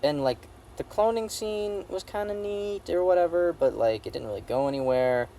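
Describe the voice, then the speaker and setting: A man giving a casual, comedic movie review in a rambling, halting way, repeating phrases for emphasis and swearing now and then. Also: a little high, lively intonation, mellow, a little hiss or hum.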